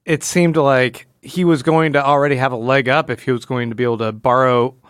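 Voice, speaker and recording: Give this a man with a clean, smooth voice at a medium pitch, working through his thoughts as a podcast co-host. He speaks casually but analytically, often rewording mid-sentence, and the sound is close and dry. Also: fairly even intonation, brisk, a bit bright, no room sound.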